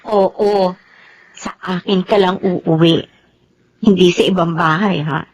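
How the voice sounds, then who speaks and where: A Filipina actress playing a devoted, tender and determined young bride and daughter in a radio drama. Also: female voice, on the low side, steady tone, slow pace, darkish tone, poor audio.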